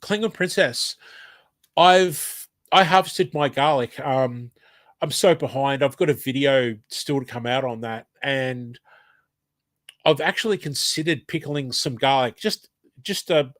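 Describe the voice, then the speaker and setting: A man's voice: mid-range voice, fairly bright tone, no room sound. An Australian man with hay fever and a blocked nose, casually reading a live stream chat aloud, hesitant and apologetic, with lots of ums.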